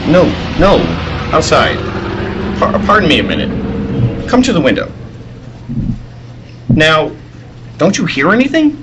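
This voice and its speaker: A man's voice: a little high, wildly swooping pitch, a little slow, pauses often, highs cut off. A man playing a mild, earnest, hesitant young newspaper reporter in a 1940s radio serial read live. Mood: alert. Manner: hesitant, polite. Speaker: table-read performer. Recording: noisy convention floor ambience. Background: convention hall noise.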